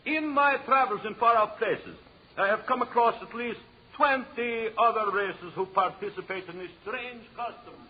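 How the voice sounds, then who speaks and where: In a muffled old radio drama, an actor plays a pedantic anthropologist. His high-pitched man's voice delivers a formal, declamatory lecture over faint hiss. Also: moderate pace, lightly acted. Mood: pedantic lecturing. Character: pedantic male anthropologist lecturing on savage tribes.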